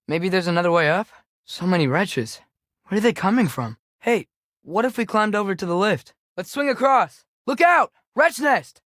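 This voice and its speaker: A man's voice: high voice, fairly bright tone, no room sound. Teen boy game companion, american, light youthful voice, curious and earnest, kinda casual, dry studio sound. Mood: curious, observant, then alert, excited. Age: teenager. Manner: casual, conversational, observant. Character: earnest, curious, alert adolescent boy adventurer. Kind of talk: video game companion dialogue.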